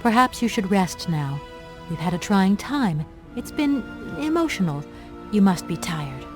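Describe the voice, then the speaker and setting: A woman's voice: medium pitch, very animated, measured pace, over music. An actress playing an earnest young professional woman, courteous and businesslike, in a video game. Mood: soothing.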